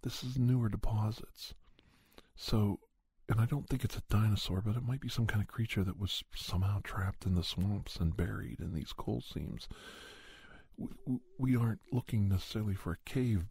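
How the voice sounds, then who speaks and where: A man plays an earnest investigator of strange creatures, recounting his hunt as a soft ASMR story. The voice is lowish and very mellow, with a heavily breathy, husky grain. He speaks haltingly, pausing mid-sentence as he pieces the account together. It sounds close and dry. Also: no room sound, exaggerated voice. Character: Doctor Andrew Michaels, earnest monster investigator recounting a hunt. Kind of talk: ASMR storytelling, told as first-person account.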